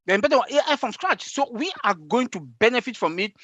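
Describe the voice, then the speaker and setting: A man's voice: very high voice, sing-song, a little fast, bright, forceful tone, no echo, dull audio. A man from Africa on a webinar panel over a video call, speaking emphatically and repeating his key phrases. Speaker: panel guest.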